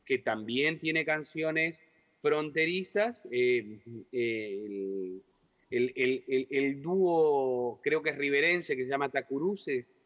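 A man's voice: mid-range voice, slow pace, a bit bright. Hesitant man, echoey muffled room. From Uruguay. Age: 40s. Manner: rambling and hesitant, with many repeated words. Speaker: writer and guest. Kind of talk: conversational interview.

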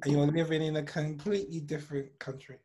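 British guy hosting a chat podcast, talks a lot, mutters low on asides, kinda high voice. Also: level tone, fast talker, mellow. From United Kingdom. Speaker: podcast host. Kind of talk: casual chat.

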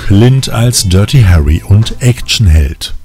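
A man's voice: fairly low pitch, lively intonation, takes it slowly. A man reading scripted narration as a podcast host. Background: background music.

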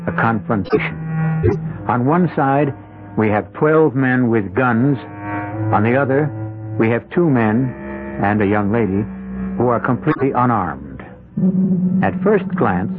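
A man hosting a radio drama, narrating in a measured, deliberate way. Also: mid-range voice. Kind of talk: scripted host narration. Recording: narrow-band old radio broadcast with music.

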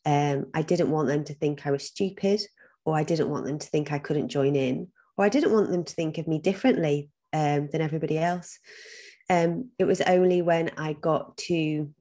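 Low-voiced woman, earnest. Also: normal speed, mellow. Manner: earnest, with frequent ums and some repeated words. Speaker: podcast guest. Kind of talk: reflective interview talk.

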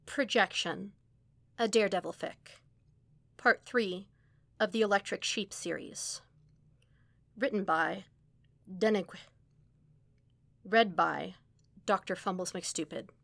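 A woman reading a fan story aloud in a steady, even storytelling manner. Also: medium pitch, slow pace, creaky, pauses often, dry recording. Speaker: podfic reader. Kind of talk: scripted narration of a fan story.

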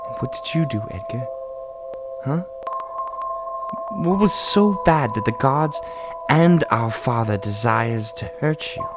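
A man's voice: mid-range voice, expressive, slow pace, long pauses. A man playing a devoted teenage younger brother in a melodramatic audio drama, earnest, emphatic and pleading. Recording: voice under loud music. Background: music.

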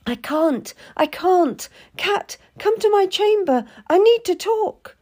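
A woman's voice: very high voice, animated, slow, warm tone, pitched above the performer's own voice, heavily acted. Woman voicing a terrified, tearful young noblewoman. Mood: frightened. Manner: trembling, pleading, near tears. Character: frightened naive young noblewoman bride.

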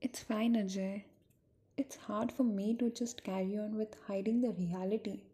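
Actress as worried niece, very soft dark tone, grave and earnest, radio play. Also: female voice, mid-range voice, fairly even intonation, moderate pace, close to an everyday voice. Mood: sorrowful. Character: Roma, worried, secretive niece burdened by sad truth. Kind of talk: amateur radio drama acting.

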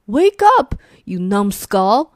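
A woman voicing grumpy male monsters who shout insults through a mountain. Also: medium pitch, very animated, takes it slowly, no echo, studio quality. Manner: shouting name-calling.

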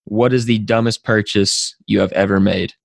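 Dry, even-toned male interview host. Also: lowish voice, normal speed, gravelly, no room sound. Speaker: podcast host. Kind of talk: interview hosting.

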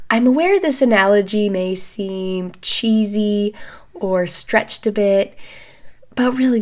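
A woman in her thirties hosts a podcast in a calm, measured way. Her voice is scratchy and gravelly from a recent illness. Her pitch sits in an ordinary middle range. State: hoarse, recovering from a respiratory illness. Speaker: podcast host. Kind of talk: scripted educational podcast talk.

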